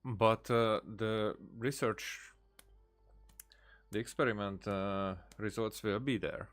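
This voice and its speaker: Slow, halting male game streamer. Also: on the low side, fairly even intonation, fairly bright tone, smooth. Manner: halting, searching for words, repeats himself. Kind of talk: casual live game commentary.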